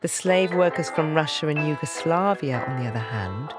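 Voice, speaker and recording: A woman narrates a scripted audio drama in a documentary style. Her voice is very low in pitch. Prominent guitar music plays behind her. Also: lively intonation, moderate pace.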